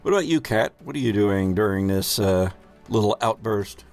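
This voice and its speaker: A man's voice: lowish voice, expressive, moderate pace, gravelly, darkish tone, faint music behind. A man hosting as game master, narrating a tabletop game casually with a playful, hesitant delivery.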